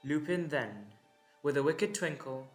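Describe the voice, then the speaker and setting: A man narrating a scripted audio drama in a measured, dramatic way with deliberate pauses. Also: a little high, expressive, soft background music.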